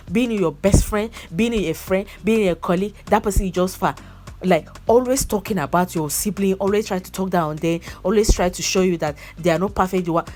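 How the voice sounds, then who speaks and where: A woman's voice: medium pitch, a little mellow. A young woman chatting casually about a movie, repeating herself and breaking into laughs. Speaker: movie reviewer. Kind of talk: casual movie review chat.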